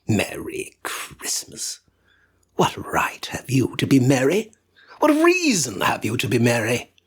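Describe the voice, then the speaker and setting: A man's voice: mid-range voice, wildly swooping pitch, takes it slowly, husky, heavily acted. A man voicing a miserly, bitter old man who answers with scorn and sometimes hisses his words. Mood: scornful.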